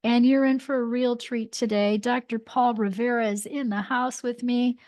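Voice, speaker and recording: Enthusiastic woman hosting, slightly high voice. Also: steady tone, normal speed, a little mellow, dry recording. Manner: enthusiastic, encouraging, many affirming "mm-hmm"s. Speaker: podcast host. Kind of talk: interview host introduction and chat. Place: Albuquerque area.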